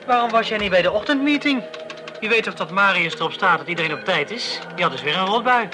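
An actor playing a chatty, teasing police colleague in a Dutch radio drama, glib in manner, with a Netherlands accent. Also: male voice, high-pitched, expressive, fast talker. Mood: mildly reproachful.

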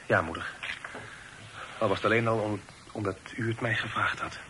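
A man's voice: on the low side, normal speed, close to an everyday voice. An actor playing a dutiful young son back from his travels in a Dutch radio play, polite and a little hesitant. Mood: grateful, earnest. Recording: noisy old radio drama recording.

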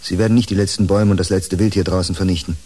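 An actor in a German radio play playing a weary, earnest psychiatrist with a husky voice. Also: male voice, low voice, steady tone, rapid-fire, mellow. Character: weary, earnest male psychiatrist, sad and dutiful. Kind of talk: German radio drama acting.

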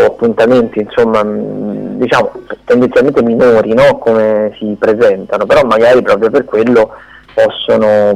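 A man chatting unscripted on the radio as he runs through theatre listings, halting and often correcting himself. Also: fairly low pitch, vocal fry, darkish tone, low-quality recording. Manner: hesitant, halting, self-correcting. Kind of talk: unscripted radio chat, event rundown.

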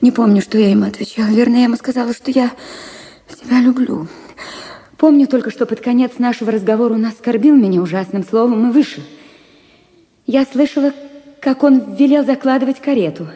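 An actress playing a heartbroken married woman reading her farewell letter to a lover in a radio drama, in a hushed, slow, confessional way. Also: fairly high pitch, lightly acted. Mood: anguished, then desperate pleading. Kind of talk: Russian literary radio drama, letter monologue. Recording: music under the voice. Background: music.

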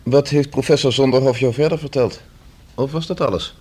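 A man's voice: medium pitch, a little fast, a little mellow, slightly rough, close to an everyday voice. A man playing a reserved, tired, courteous man in a radio drama.

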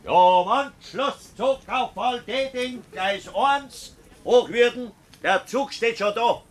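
High-voiced Bavarian railway man calling trains. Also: takes it slowly. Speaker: railway platform announcer. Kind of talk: 1960s radio play acting.

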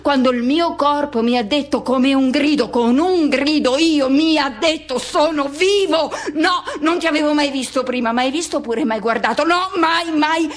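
Woman playing a wistful man remembering first love, higher-pitched voice, poetic radio theatre monologue, halting phrases, insistent repetition, self-questioning, intense. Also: close to an everyday voice. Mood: agitated, exultant.

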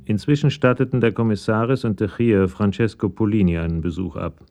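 Male narrator for radio crime story; low, creaky voice, scripted read with music underneath. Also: brisk, darkish tone. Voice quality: gravelly.

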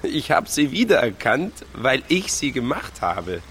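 A man's voice: medium pitch, sing-song, unhurried, fairly bright tone, a little hiss or hum. An actor playing a teasing, insistent village man of thirty in a radio drama, pushily friendly. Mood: sly, amused. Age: 30s.

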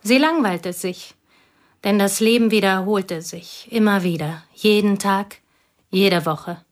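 An actress playing a provincial woman recalling an old school friend, speaking quietly and wistfully in a radio drama. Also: medium pitch, takes it slowly, close to an everyday voice. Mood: bitter. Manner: quiet, wistful, partly whispered.

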